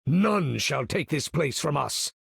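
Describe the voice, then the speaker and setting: A man's voice: a little high, expressive, slow pace, gravelly, fairly bright tone, slightly rough, no echo, studio quality, full character voice. A man voicing an imperious older supervillain in a video game, commanding battle with pompous, theatrical, formal diction. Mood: haughty, commanding.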